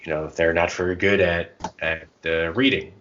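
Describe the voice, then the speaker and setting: Bright, low-voiced man chatting casually. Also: steady tone, normal speed, highs cut off, slight background noise. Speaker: podcast co-host. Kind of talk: casual chat about tabletop roleplaying and comics.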